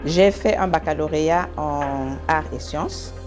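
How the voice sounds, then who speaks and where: An older woman from the Democratic Republic of Congo, a guest answering interview questions at a measured pace. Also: sits low, over music.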